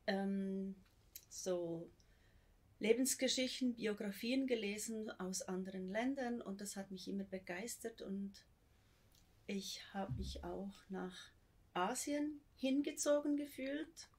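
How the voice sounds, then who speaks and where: A woman with a Swiss German accent, a missionary guest speaking thoughtfully and hesitantly. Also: mid-range voice. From born in Hawaii, raised in Switzerland. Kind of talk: conversational interview.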